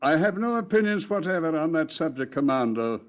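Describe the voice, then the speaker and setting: An actor playing a formal government pathologist in a 1950s radio drama, precise and authoritative. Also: male voice, a little high, very animated, brisk. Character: precise, formal male pathologist, confident expert. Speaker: Home Office pathologist. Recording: lo-fi vintage radio transcription.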